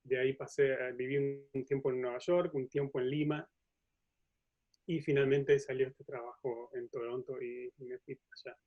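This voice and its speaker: A man's voice: mid-range voice, flat delivery, moderate pace. Uruguayan man lecturing, slightly muffled audio. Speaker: museum curator and professor giving a talk. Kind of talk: informal science lecture with slides. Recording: dull, lo-fi audio.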